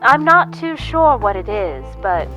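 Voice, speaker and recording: A woman voicing a curious, unruffled female arms seller in an audio drama, theatrical and deliberate. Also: medium pitch, big pitch swings, over music, rough recording. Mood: intrigued.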